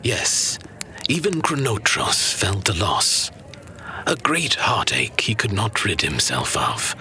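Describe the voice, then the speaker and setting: Slow, sharp, breathy solemn storyteller, acted by a man. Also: lowish voice, huge pitch swings, whispered, soft background music, full character voice. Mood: knowing, solemn. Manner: grave, deliberate, dramatic. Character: solemn old-world male storyteller of legends, knowing. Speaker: narrator. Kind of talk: scripted fantasy storytelling.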